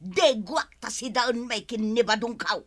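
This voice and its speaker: A woman's voice: on the high side, unhurried. An actress playing a quarrelsome older village woman who scolds and nags in an Ilocano Filipino accent, in a radio comedy drama. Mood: irritated scolding. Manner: scolding, loud, nagging.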